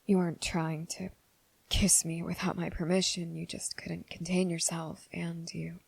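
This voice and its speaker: ASMR roleplay lead: earnest, nervous young man played by a woman, very even pitch, close dry sound, soft and halting. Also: no echo, heavily acted. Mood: tender, careful. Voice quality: soft, breathy, slipping into whisper. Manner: halting, hesitant, often pausing mid-sentence. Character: nervous, earnest, gentle young male trainee confessing his feelings. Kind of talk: ASMR roleplay addressed to the listener.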